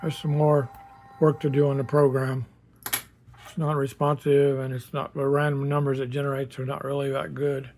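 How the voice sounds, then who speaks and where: Even-toned man casually demonstrating. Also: mid-range voice, normal speed, slight background noise. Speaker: hobbyist builder presenting a project.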